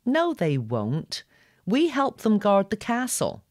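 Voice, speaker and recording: Woman doing a brash, lively young gargoyle boy. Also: mid-range voice, slow pace, no echo, studio quality, pitched above the performer's own voice. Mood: dismissive. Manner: brash, carefree.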